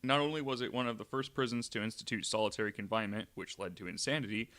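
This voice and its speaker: A young adult man hosting a podcast, reading from notes with frequent "uh" fillers and adding wry, deadpan asides. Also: on the low side, fast talker. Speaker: podcast host.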